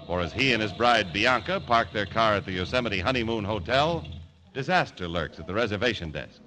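An actor playing a florid, mock-grave announcer narrating a 1950s radio drama. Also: male voice, on the low side, lively intonation, brisk, sharp tone, low-quality recording. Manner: florid, theatrical. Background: music.

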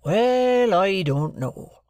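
A man doing a slow, homely old blacksmith. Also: high-pitched, animated, a little mellow, no room sound, pitched above the performer's own voice, exaggerated voice. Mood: gentle, homely. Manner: plain, homely country speech. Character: kindly, slow-spoken simple village blacksmith, middle-aged man.

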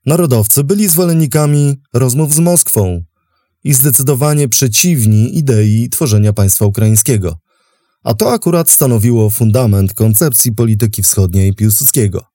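A man hosting and narrating a history programme from a script, in a measured, articulate, formal manner. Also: medium pitch, animated, mellow, no room sound, clean studio sound. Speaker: podcast host and narrator.

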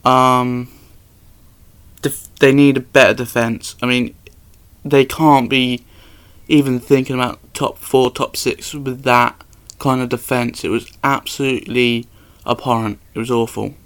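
Young man, casual football chat, flat delivery, clear tone. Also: mid-range voice, measured pace. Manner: matter-of-fact, dry opinions. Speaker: podcast co-host.

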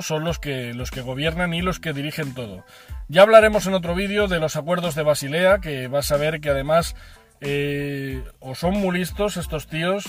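Chatty man, fairly high voice. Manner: chatty, frequent fillers and self-corrections, ironic asides. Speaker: host of a finance channel. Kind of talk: informal explainer to camera.

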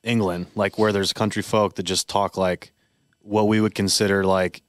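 Low-voiced rambling podcast man. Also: level tone, moderate pace, dry recording. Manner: rambling, filler-heavy storytelling. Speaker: podcast co-host. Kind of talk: casual chat.

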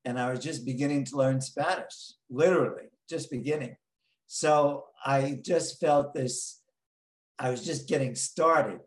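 A man in his late sixties with a New York accent, telling his story slowly as an interview guest, pausing on many ums. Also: medium pitch, echoey room. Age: 60s. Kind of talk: interview answers.

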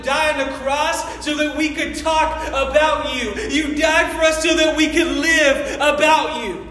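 A high, bright-voiced male pastor preaches in a loud, excitable, casual way and prays with a fervent, repeating cadence. His voice rings through a big echoey church hall, with a long reverb tail and faint room noise behind it. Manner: fervent, repetitive "Father God" prayer cadence. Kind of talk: sermon and prayer.

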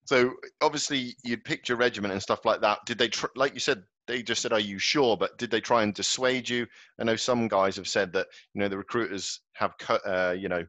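A man's voice: fairly low pitch, steady tone, brisk, fairly bright tone, no room sound. A man hosting a podcast, asking loose, rambling questions with plenty of fillers. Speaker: podcast host. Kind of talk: casual interview chat. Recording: dry, dull lo-fi sound.

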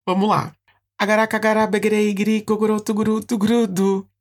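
A man's voice: high-pitched, level tone, brisk. A man with a Brazilian accent hosting a casual chat, playfully humming garbled song lyrics for a guessing game and laughing often.

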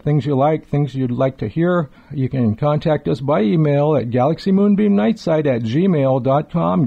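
A man co-hosting a radio show in a conversational, effusive, wordy way, with a mellow voice. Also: mid-range voice, creaky, lo-fi sound.

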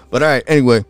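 A man streaming from home at night, talking in a rambling, easygoing way with lots of fillers, his voice a bit raspy. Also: medium pitch. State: slightly raspy late at night. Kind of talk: casual solo livestream talk.

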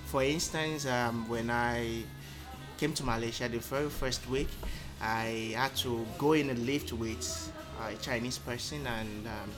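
A man's voice: mid-range voice, steady tone, music in the background. Thoughtful man telling his story. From Nigeria. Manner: thoughtful, measured, with frequent um pauses. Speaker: interview guest. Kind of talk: casual conversational storytelling.

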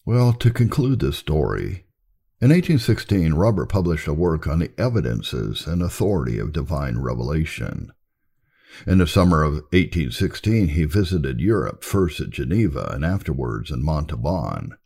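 Very deep, gravelly man reading history. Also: big pitch swings, moderate pace, mellow. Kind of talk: scripted audiobook-style narration of history.

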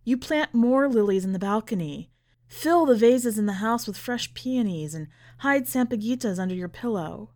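A woman reading a literary short story aloud in a measured, deliberate way. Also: a little high, animated, darkish tone. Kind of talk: scripted literary narration.

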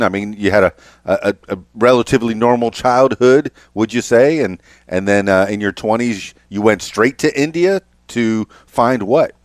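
Halting middle-aged male podcast host. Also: on the low side, no echo, studio quality. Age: 50s. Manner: halting, repeats words and restarts often. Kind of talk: interview conversation.

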